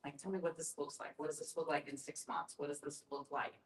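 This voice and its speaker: A woman's voice: deep voice, fairly even intonation, quick pace, a little hiss or hum. A woman explaining her view in a group discussion, speaking out first-person examples as she goes. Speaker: discussion participant. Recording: echoey room.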